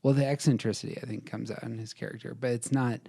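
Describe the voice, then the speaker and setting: A man's voice: medium pitch, fairly even intonation, quick pace, vocal fry, mellow, husky, dry recording, clean studio sound. A man co-hosting a podcast in casual debate, bantering in a self-deprecating way. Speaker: podcast co-host.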